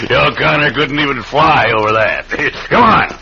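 An actor playing a scheming, bossy crooked railroad marshal and gang boss in an old-time radio western, talking in folksy outlaw style. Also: male voice, a little high, expressive, takes it slowly, creaky, heavily acted. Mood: excited, gloating. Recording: very noisy, muffled old radio recording.

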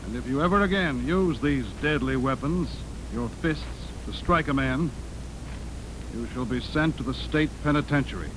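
A man's voice: mid-range voice, normal speed, very noisy, close to an everyday voice. A man playing a stern town council official, speaking formally and declaiming in a 1940s radio drama style. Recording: old radio recording with music.

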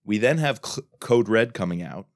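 A man co-hosting a thriller book podcast, joking with the guest and briskly running through upcoming episodes. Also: on the low side, expressive, no room sound, clean studio sound. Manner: upbeat, joking, runs through lists. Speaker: podcast co-host. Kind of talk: casual podcast chat.

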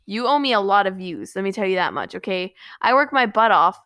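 Teen girl podcast co-host, bright forward voice, chatty, vents and laughs, dry close mic. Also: medium pitch, animated, fairly quick, no echo. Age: teens. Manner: chatty, venting, laughs often. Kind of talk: casual chat.

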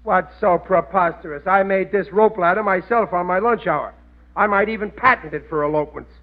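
A boastful working stiff from a 1940s radio sitcom, played by a man, high-pitched and easily indignant. His grammar is rough and his schemes are dim. The recording is thin and tinny. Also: fairly quick, lightly acted. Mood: stubborn, indignant. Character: blustering, stubborn working-class family man, dim schemer.

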